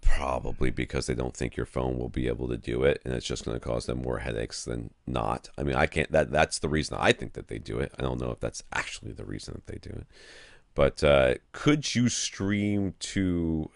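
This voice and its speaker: A man co-hosting a podcast, chatting casually in an informal, animated manner. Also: a bass voice, moderate pace, gravelly, no room sound, studio quality. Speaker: podcast co-host. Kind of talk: casual chat.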